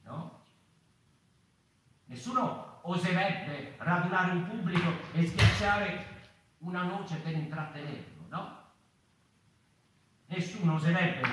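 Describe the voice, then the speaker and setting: An actor playing a wryly musing narrator of a mouse people in a questioning, hesitant theatrical monologue. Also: male voice, a little high. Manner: rhetorical, hesitant repetitions, tag questions. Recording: echoey hall from far off, noisy.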